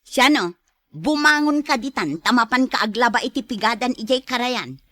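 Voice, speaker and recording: A woman's voice: fairly high pitch, animated, normal speed, bright, forceful tone, no echo. A woman playing a bossy, nagging wife in an audio drama, scolding and ordering her drinker husband around. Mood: exasperated, angry. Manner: scolding, commanding. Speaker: voice actor in a drama. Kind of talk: Ilocano audio drama acting.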